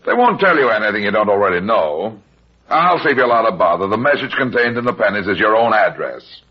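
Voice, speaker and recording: An actor playing a wisecracking, unflappable heavyset private detective in a vintage radio drama, wry and sardonic. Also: male voice, lowish voice, very animated, fairly quick, breathy edge. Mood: wry, calm. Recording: muffled vintage radio recording.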